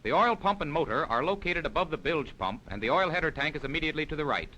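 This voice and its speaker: A man's voice: on the high side, animated, fast, pushed and bright. A man reading scripted narration for a 1940s military instructional film in a formal, precise, explanatory manner.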